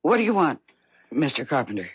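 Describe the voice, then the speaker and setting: An actress playing a blunt, bitter middle-aged wife, world-weary and dry, in a 1970s radio drama. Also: female voice, very deep voice, big pitch swings, a little fast, breathy edge, no echo, heavily acted. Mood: puzzled, wary. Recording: dull 1970s radio broadcast recording.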